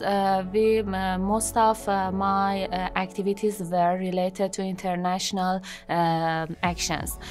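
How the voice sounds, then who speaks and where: A woman in her thirties from Iran whose first language is Persian speaks slowly, with a mid-range pitch and frequent hesitant fillers. She introduces herself to camera, seated in a studio. Faint music plays behind her. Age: 30s. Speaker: job seeker introducing herself. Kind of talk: unscripted self-introduction to camera. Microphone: seated, medium shot.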